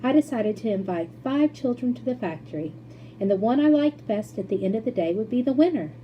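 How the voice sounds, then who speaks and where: Lady doing confident quirky factory boss guy from a kids book, brisk n emphatic, faint noise behind. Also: a little high, animated, dull audio, lightly acted. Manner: decisive, emphatic. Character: brisk, cheerfully confident eccentric male factory owner.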